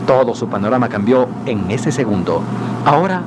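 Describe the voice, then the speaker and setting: A man narrating a scripted radio drama in a deliberate, theatrical storytelling manner. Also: medium pitch, expressive. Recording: noisy 1990s radio drama, street sounds behind.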